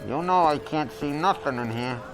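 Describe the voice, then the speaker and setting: A man's voice: on the high side, normal speed, natural voice, rough recording. Man voicing a sarcastic, bossy wooden dummy, music behind. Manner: sarcastic, grumbling, street-smart. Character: wisecracking, bossy wooden ventriloquist's dummy, male. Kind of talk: radio drama acting.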